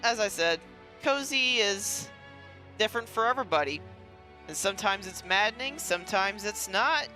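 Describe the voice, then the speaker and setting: A gamer doing casual let's play commentary in a bright voice of middle pitch. The talk rambles and jokes along, with faint game music behind it. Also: lively intonation, normal speed. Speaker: gaming content creator.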